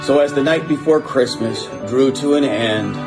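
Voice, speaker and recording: A man's voice: medium pitch, moderate pace, poor audio. A man reciting a rhyming Christmas poem as a scripted message in a played video clip. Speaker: company executive. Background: loud guitar music.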